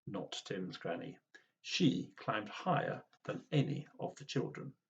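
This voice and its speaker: A man reading a children's storybook aloud in an expressive, dramatic way. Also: fairly low pitch, unhurried, muffled recording. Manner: animated, dramatic.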